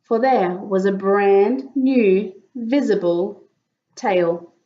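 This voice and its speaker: A woman reading a picture book aloud as an expressive storyteller, with dramatic emphasis for young listeners. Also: mid-range voice, drawn-out words, lo-fi sound. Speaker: children's story reader.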